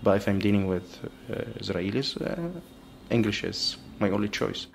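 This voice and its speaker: A man's voice: on the low side, lively intonation, moderate pace, creaky, a little hiss or hum. A man whose first language is Arabic, answering interview questions in English with frequent hesitant fillers. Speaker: graduate student guest.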